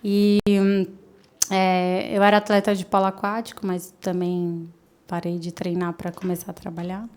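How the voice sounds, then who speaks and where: A woman's voice: on the low side, level tone, normal speed, darkish tone. A woman in her late thirties with a São Paulo accent, chatting as a podcast guest, laughing often and trailing off with fillers. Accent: São Paulo Brazilian Portuguese. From São Paulo, Brazil. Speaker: podcast guest, marketing professional. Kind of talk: casual interview chat.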